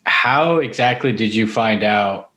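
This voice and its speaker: A man's voice: on the low side, measured pace, sharp tone. A man chatting informally as a podcast host, telling personal stories with casual swearing. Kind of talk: casual chat with personal anecdotes. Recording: roomy, echoey room sound.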